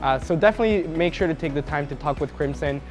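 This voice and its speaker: A young man from Mexico City, a university student giving a relaxed testimonial, talking casually with a lot of "uh" fillers. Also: fairly high pitch, fast, faint music behind. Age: young adult. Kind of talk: testimonial to camera.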